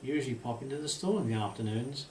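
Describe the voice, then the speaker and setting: A man's voice: mid-range voice, expressive, fast, a little mellow, lightly acted. A man voicing a protective, wry old grandmother in a storybook, homely and questioning. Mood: suspicious. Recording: distant mic, roomy echo, faint hiss.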